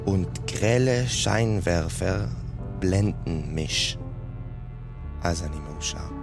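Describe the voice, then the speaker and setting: An actor playing a stage singer who picks himself up again, in a scripted radio drama monologue. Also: male voice, sits low, expressive, unhurried, gravelly, music in the background, heavily acted. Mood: reflective.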